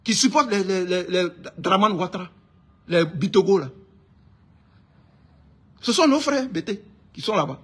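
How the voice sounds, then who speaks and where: High, husky Ivorian man, ranting insistently. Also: lively intonation, normal speed, pauses often, fairly bright tone. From Ivory Coast. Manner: indignant, emphatic, repeats phrases insistently. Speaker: online political commentator. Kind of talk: informal political rant to camera.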